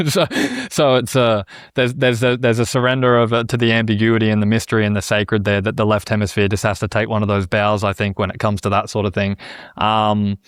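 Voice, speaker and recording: A man's voice: on the low side, no echo, clean studio sound. A man in his thirties hosting a podcast, talking in a rambling, earnest way with many restarts and frequent check-ins with his listener. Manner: rambling and earnest, many restarts, often says "you know what I mean". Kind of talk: casual conversation.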